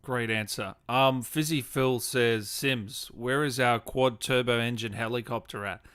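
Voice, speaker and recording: A man's voice: mid-range voice, fairly even intonation, unhurried, a bit bright, smooth, no echo, studio quality. A man hosting a gaming show, chatting casually with effusive, matey informality. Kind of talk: casual livestream interview and chat.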